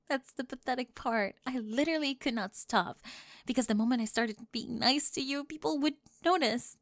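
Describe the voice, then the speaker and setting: A woman voicing a flustered, defensive teenage cheerleader bully with a secret crush in an ASMR roleplay, halting and nervous, often dropping toward a whisper. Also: high-pitched, dry recording, clean studio sound. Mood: vulnerable, regretful. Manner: halting, self-interrupting, nervous laughs, drops into whispers.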